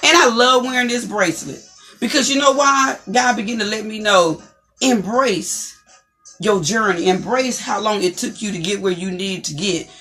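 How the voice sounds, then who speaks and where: A woman with a deep, distinctive voice hosting an inspirational talk show, encouraging listeners and repeating her phrases for emphasis. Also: moderate pace, a bit bright, soft background music.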